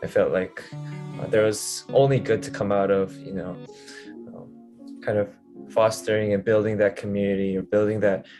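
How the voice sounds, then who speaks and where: A man's voice: fairly low pitch, fairly even intonation, normal speed, darkish tone, soft background music. A young man answering interview questions as a student, hesitant and full of fillers. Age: young adult.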